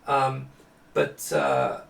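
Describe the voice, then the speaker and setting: A man's voice: mid-range voice, sing-song, drawn-out words, vocal fry. A man giving a casual, off-the-cuff tech demonstration, thinking aloud in a rambling way. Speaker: product demonstrator. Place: indoor room with retro computers. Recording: roomy echo, distant mic, some music.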